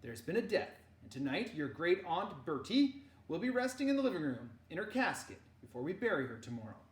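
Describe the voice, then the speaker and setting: A woman voicing a genial, booming fisherman uncle in a storybook reading. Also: sing-song, fairly quick. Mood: gentle, grave. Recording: roomy echo.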